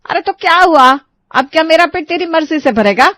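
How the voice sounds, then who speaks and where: A sharp-tongued, nagging mother-in-law, voiced by a woman who bosses her daughter-in-law around with sarcasm. She sits a little high in pitch. The sound is dry and close, with no room around it. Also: animated, normal speed, no echo. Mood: bossy. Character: demanding greedy middle-aged mother-in-law, taunting.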